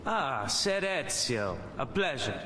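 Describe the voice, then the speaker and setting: A man's voice: mid-range voice, sing-song, slow, noisy background. A man with an Italian accent playing a polite, assured nobleman soldier in a video game cutscene. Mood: courteous. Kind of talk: dramatic game cutscene acting. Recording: echoey reverberant game audio with music.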